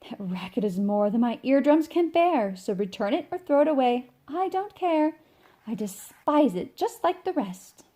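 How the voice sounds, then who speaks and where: A woman's voice: high-pitched, big pitch swings, normal speed, mellow. A woman voicing a petulant boy musician from a children's storybook, exclaiming with dramatic indignation. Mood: disgusted. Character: petulant boy prodigy musician throwing tantrums.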